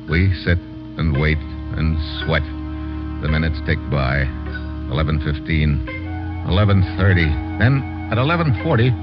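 An actor playing a tough, impatient American investigator in an old radio drama, talking in clipped slang. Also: male voice, fairly low pitch, close to an everyday voice. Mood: tense narration. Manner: clipped, slangy, hard-boiled. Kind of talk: 1940s-50s radio drama acting and first-person narration. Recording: muffled old radio show recording.

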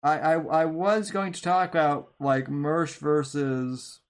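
Guy hosting a casual stream, kinda higher voice, hesitant, keeps restarting and repeating words. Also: steady tone, slow pace. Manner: hesitant, repeats words and stammers over starts. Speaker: talk show host. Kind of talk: casual livestream chat.

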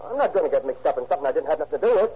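A rattled, husky-voiced suspect in an old radio drama, his quick speech jumping up and down in pitch as he stammers out his denials. The sound is muffled, like an aged broadcast transcription. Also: very animated, full character voice. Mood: defensive. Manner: jittery, stammering, protesting his innocence. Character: nervous pleading male truck owner suspect. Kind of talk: 1940s radio acting.

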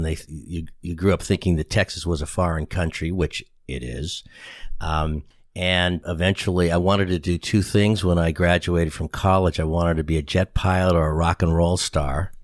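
Deep-voiced man in his sixties. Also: steady tone, normal speed, no echo, studio quality. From Boston area, USA. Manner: rambling, self-deprecating, fillers and restarts. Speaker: guest, brand specialist and author. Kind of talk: casual conversational interview. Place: studio in San Antonio.

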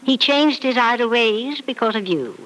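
A woman's voice: a little high, lively intonation, moderate pace, fairly bright tone, rough recording. An actress playing a frank, doting, wealthy elderly mother in an old radio soap, speaking with emphatic, theatrical affection. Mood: emphatic praise. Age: older. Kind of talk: 1940s radio soap opera acting. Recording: old radio drama recording.

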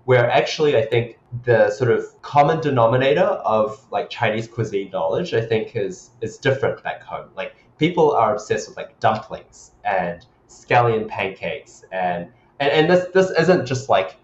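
A man's voice: mid-range voice, lively intonation, moderate pace, few pauses, a little hiss or hum. A man born in Hong Kong and raised in New Zealand, with a New Zealand accent, talking reflectively as a podcast guest and often checking in with "right?". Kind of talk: casual interview chat. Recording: echoey, dull lo-fi sound.

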